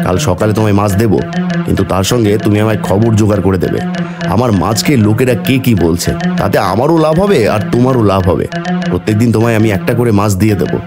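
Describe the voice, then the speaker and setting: Man playing an animated, scheming village fish seller. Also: on the low side. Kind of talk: cartoon voice acting.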